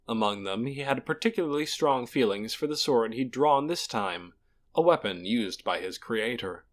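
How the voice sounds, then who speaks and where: A man narrating a fantasy audiobook in a dramatic, scripted storytelling style. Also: mid-range voice.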